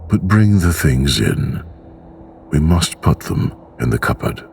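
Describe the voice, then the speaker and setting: A man voicing a calm, terse old grandfather who answers in short, low replies in a bedtime story. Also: slow, creaky, slight background noise, heavily acted.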